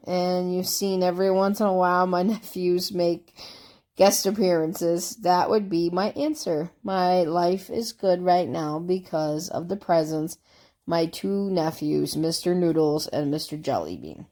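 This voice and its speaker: A woman's voice: on the low side, a little slow, dark tone. A woman vlogger chatting casually through tag questions, congested from a cold, rambling and easygoing. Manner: rambling, easygoing, with fillers and asides.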